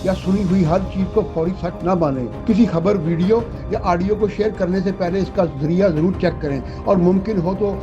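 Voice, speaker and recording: A man with a Pakistani accent narrating a scripted news explainer in a measured, formal, instructive way. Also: high voice. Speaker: news presenter. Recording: dull lo-fi audio with music. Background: prominent background music.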